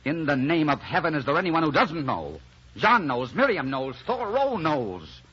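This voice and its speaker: A man's voice: fairly low pitch, sing-song, a little fast, a bit bright, husky, full character voice. A man acting a stubborn, protective romantic hero in an old radio drama, with emphatic, insistent delivery. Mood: exasperated. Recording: dull, noisy old radio recording.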